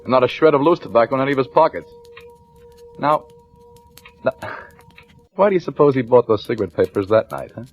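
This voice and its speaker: An actor playing a shrewd, weary police lieutenant in an old-time radio crime drama, with clipped colloquial delivery. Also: male voice, medium pitch, animated, brisk, pauses often, close to an everyday voice. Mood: triumphant, pressing. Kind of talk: old-time radio crime drama acting, first-person narration and dialogue. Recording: dull vintage radio recording with music.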